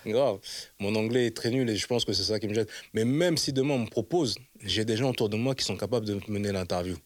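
A man who is a channel host sits for a casual interview and talks quickly and emphatically. He keeps checking that his listener follows him. His voice sits on the lower side, and it is recorded close and dry. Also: dry recording. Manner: emphatic, rapid, keeps asking "you see what I mean?".

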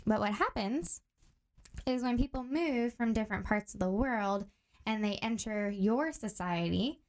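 A young adult woman from Tanzania, a guest on a podcast, calmly explaining ideas step by step with examples. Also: mid-range voice, measured pace. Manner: calm, steady explaining with clear examples. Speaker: guest, director of training.

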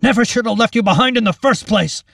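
Man acting a shouting, desperate captain, raised pitch. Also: quick pace, creaky, no echo, studio quality, far from the mic, full character voice. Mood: urgent, alarmed. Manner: shouting urgently.

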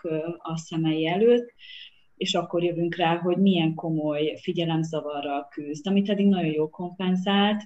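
A woman's voice: lowish voice, a little mellow, dull audio. A woman child psychologist speaking earnestly in a podcast conversation, appealing directly to parents. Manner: earnest, emphatic, appealing to listeners.

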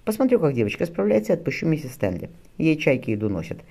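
A man's voice: on the high side, very animated, fast talker, gravelly, a little mellow, husky, voice pushed up, heavily acted. A man voicing a good-humoured, reassuring admiral and fond husband in a historical novel, with an easygoing manner. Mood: teasing, fond.

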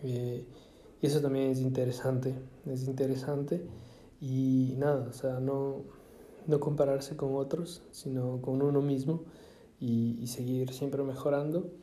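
A man from Ecuador musing aloud in a casual monologue, halting often with fillers and restarts. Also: mid-range voice, little pitch movement, warm tone, a little hiss or hum. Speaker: vlogger. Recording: echoey room.

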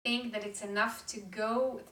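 A woman's voice: mid-range voice, fairly even intonation, measured pace, pushed and bright. A woman hosting a podcast interview, encouraging her guest with short replies and follow-up questions. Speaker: podcast host. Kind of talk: casual interview chat. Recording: echoey room, distant from the mic.

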